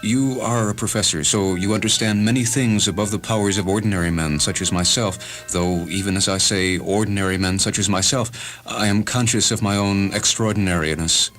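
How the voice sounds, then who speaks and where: An actor performs a self-important, obsessed Indian man for a radio short-story drama. The voice is on the low side, formal and wordy, with elaborate courtesy over a testy core. Music sits faintly underneath. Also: steady tone, fairly quick, fairly bright tone, natural voice.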